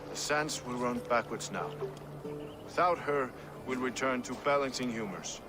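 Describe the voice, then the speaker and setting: An actor playing a rough, sardonic, weary medieval man in a video game, with a wry, blunt and expressive delivery. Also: medium pitch, normal speed, music in the background, close to an everyday voice. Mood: mournful. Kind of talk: dramatic video game dialogue.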